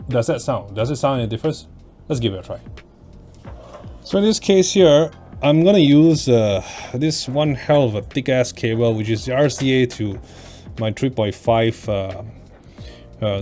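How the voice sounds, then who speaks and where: A man's voice: medium pitch, moderate pace, warm tone, faint music behind. A man giving a casual product review in a blunt, opinionated, casually sweary manner. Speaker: tech reviewer.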